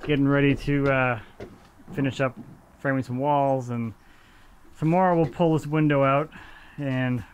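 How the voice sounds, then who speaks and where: A man in his thirties narrates a construction vlog outdoors on a handheld camera. His talk is easygoing and full of small fillers, at a middle pitch. A faint hiss sits behind him. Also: measured pace, pauses often. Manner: easygoing, relaxed, with frequent fillers. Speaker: vlog host filming a home addition. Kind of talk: casual narration of construction work. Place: outdoors on a deck by a house.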